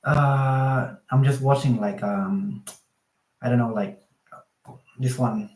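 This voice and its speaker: A man's voice: mid-range voice, takes it slowly. A man from Thailand, chatting casually on a video call through his phone, with lots of fillers. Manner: relaxed, chatty, many fillers like "like" and "you know". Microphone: phone on a video call, no external mic. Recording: roomy video call audio.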